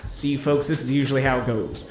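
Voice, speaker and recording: An actor playing a slick, cheeky 1950s disc jockey who calls a bowling game with showy hepcat patter and drawn-out flourishes. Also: male voice, medium pitch, fairly even intonation, darkish tone, close to an everyday voice. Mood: wry, playful. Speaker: play-by-play announcer. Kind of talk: 1950s radio-style sports commentary. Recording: roomy echo, music underneath.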